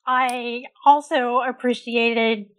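A woman co-hosting a casual recap show, speaking with a hoarse voice, chatty and opinionated. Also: fairly high pitch, fairly even intonation, takes it slowly, low-quality recording. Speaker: co-host.

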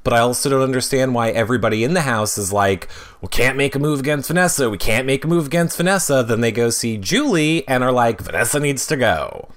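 A man's voice: mid-range voice, lively intonation, quick pace, hardly any pauses. A man chatting casually as a podcast guest, giving opinions emphatically.

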